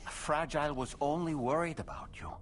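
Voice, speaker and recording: An actor playing a composed middle-aged therapist who breaks hard news in a calm, measured way, in a video game cutscene. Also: male voice, a little high, a little hiss or hum, natural voice.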